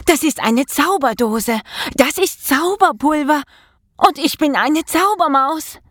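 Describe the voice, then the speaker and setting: A woman's voice: a little high, animated, unhurried, dry recording, clean studio sound, voice pushed up. A woman voicing an exuberant, gleeful young male magic mouse in a children's storybook, chanting with relish. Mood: delighted. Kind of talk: children's storybook character reading.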